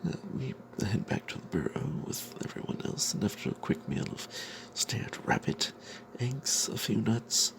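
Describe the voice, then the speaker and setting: A man telling a fantasy tabletop tale as whispered ASMR, in a breathy, halting way. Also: lowish voice, big pitch swings, noisy background.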